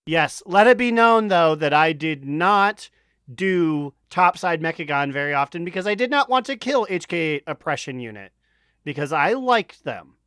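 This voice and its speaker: A man with a fairly high, clean voice chats as a podcast co-host. He is bawdy and joking, and the sound is close and dry. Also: expressive, normal speed, a bit bright, clear, resonant tone, no room sound. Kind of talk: casual comedic banter.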